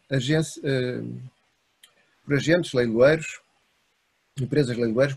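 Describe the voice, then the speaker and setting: A male academic with a European Portuguese accent delivers a prepared lecture over a webcam from a room lined with bookshelves. His voice is husky and sits at a middle pitch. He speaks in a measured way and breaks his points into short, listed phrases. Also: a little mellow. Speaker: university lecturer. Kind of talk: academic lecture read from notes. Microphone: video call webcam.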